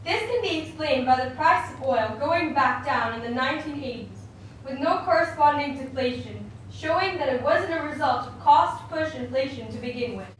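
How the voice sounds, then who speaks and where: Woman voicing a high-pitched economist puppet, very echoey. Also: animated, normal speed. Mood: lecturing, assured. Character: famous male monetarist economist puppet, opinionated and dismissive. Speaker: interview guest. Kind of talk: scripted puppet show interview. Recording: very echoey room, distant mic, faint noise.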